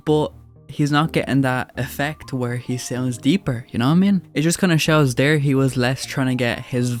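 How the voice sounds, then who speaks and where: A man's voice: mid-range voice, darkish tone. A man talks through video clips in a loose, casual commentary. He is blunt and mocking and drops swear words freely, with faint music under him. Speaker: commentary YouTuber.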